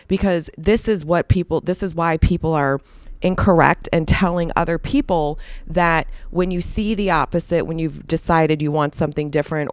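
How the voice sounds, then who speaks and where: A middle-aged woman life coach with a hoarse voice from a cold, giving a blunt, emphatic casual talk to camera that is peppered with swearing. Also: deep voice, no room sound, clean studio sound.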